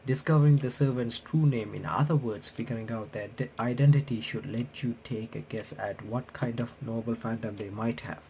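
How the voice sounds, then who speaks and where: A man's voice: medium pitch, fairly even intonation, moderate pace, few pauses, warm tone, slight background noise, poor audio. A man doing a game playthrough, reading on-screen text aloud with casual commentary, often stumbling and correcting himself. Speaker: host of a game playthrough and reaction channel.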